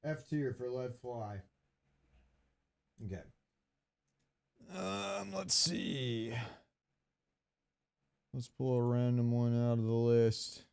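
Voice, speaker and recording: A man's voice: medium pitch, very slow, gravelly, pauses often, darkish tone, clean tone, dry recording, clean studio sound. A man hosting a casual gaming podcast, joking and opinionated. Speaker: podcast host.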